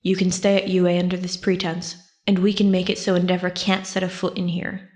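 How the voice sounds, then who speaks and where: A woman's voice: lowish voice, monotone, fairly quick, darkish tone, dry recording, close to an everyday voice. A woman voicing a guarded, determined male teacher in an audiobook, earnest and restrained. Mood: firm, persuasive. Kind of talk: audiobook character voice.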